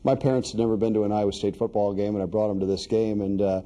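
A man hosting a sports show in a relaxed, conversational studio chat. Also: on the low side, fast.

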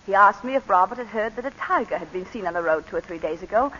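Old radio drama: actress as a clipped, refined planter's wife, bright and expressive, muffled broadcast sound. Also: female voice, mid-range voice, fast talker, slight background noise, natural voice. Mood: measured. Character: composed planter's wife recounting a killing. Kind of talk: 1940s radio acting.

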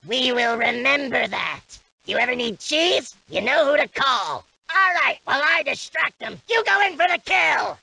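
A scrappy cartoon rat from a video game. The voice is raspy and bright with heavy fry, swinging widely in pitch as it brags with loyal, cocky cheer. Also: expressive, moderate pace, hardly any pauses, dry recording, full character voice, rough recording. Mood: loyal, fierce. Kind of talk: exaggerated video game character lines.

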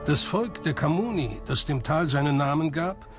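A man's voice: on the high side, expressive, normal speed. A man reading scripted documentary narration. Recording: music behind the voice. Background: background music.

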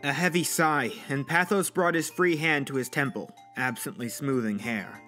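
A man's voice: mid-range voice, moderate pace, sharp tone. A man narrating an audio story in a dramatic, scripted style. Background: music.

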